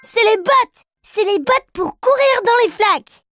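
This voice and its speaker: A tiny boy bear cub from a children's cartoon, speaks in a very high, childlike voice. He is eager and playful, taking his words slowly in a singsong way, with music clearly behind him. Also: animated, sharp tone, no room sound, full character voice. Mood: excited. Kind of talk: children's cartoon dialogue and rhymes.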